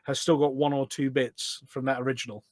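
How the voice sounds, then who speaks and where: A man's voice: mid-range voice, level tone, a little fast, dry recording. A middle-aged man chatting casually as a podcast guest, enthusiastic and full of anecdotes. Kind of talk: casual chat. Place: indoors at a desk at home.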